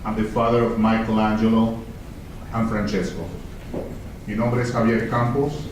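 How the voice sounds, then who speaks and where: A man moderating a panel, halting and repeating words as he welcomes the audience. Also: on the low side, level tone. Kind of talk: bilingual event welcome. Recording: echoey hall, far from mic, noisy.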